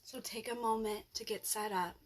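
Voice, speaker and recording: A woman leading a guided yoga nidra relaxation as the instructor, with gentle, soothing instructions and long pauses. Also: a little high, steady tone.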